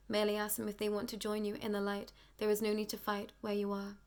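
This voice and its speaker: A young woman with a hoarse, nearly lost voice, hosting a spiritual channel and slowly reading out a channeled message. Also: medium pitch.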